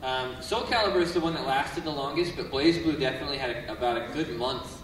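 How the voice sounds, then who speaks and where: A man's voice: mid-range voice, fast, recorded from a distance. A man on a convention panel making dry teasing remarks to the audience. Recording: echoey hall with loud crowd and noise.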